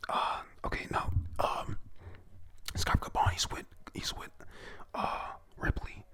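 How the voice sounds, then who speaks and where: A person whispering an informal, halting recap of a comic chapter for viewers, breathy and prone to casual swearing. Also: bright, forceful tone. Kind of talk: whispered read-through and recap of a manga chapter.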